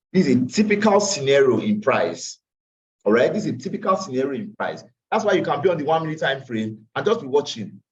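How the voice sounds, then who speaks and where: A tired man teaching a live online trading class, repeating phrases and slipping into Pidgin asides. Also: lowish voice. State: tired after a long day. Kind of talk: live online class walking through charts. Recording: distant, dull lo-fi call audio.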